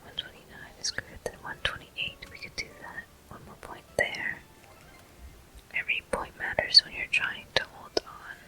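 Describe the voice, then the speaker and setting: A person whispering softly and breathily into a close microphone, narrating gameplay step by step in an ASMR style. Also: rough recording. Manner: soft, unhurried, gently narrating each step. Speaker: gaming video host. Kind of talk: ASMR whispered game commentary.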